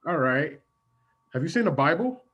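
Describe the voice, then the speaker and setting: A woman playing a curt, scornful wife who berates and dismisses haltingly, in an improvised comedy radio play. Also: sits low, big pitch swings, a bit bright, breathy edge, muffled recording, full character voice. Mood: disdainful.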